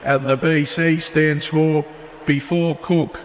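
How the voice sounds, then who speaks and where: A man with a slightly raised voice delivers a measured, declarative public address over a stadium sound system. His words ring out with a long echo, and faint crowd ambience sits underneath. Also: on the high side, flat delivery, slight background noise. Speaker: speaker giving a ceremony address at a stadium. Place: large outdoor stadium. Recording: echoey stadium PA from far off.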